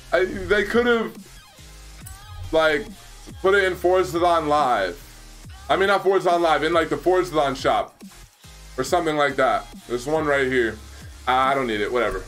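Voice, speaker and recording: A man's voice: a little high, fairly bright tone. A young man in his twenties hosting a casual gaming livestream, chatting loosely and answering his viewers, with frequent laughs, on a headset mic in a small room. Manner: chatty, reads and answers chat, laughs often. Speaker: livestream host.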